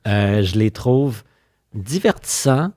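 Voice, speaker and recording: A man's voice: on the low side, very animated, slow pace, a little mellow, no room sound, studio quality. A man with a Quebec French accent hosting a casual humorous podcast, speaking in an informal, joking and hesitant way. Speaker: podcast host.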